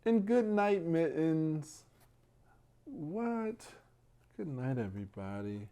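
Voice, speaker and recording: A man hosting a bedtime read-aloud for young children, speaking in a hushed, gentle way and talking directly to his little listeners. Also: on the high side, very animated, slow, smooth. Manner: addresses young listeners directly with questions and praise.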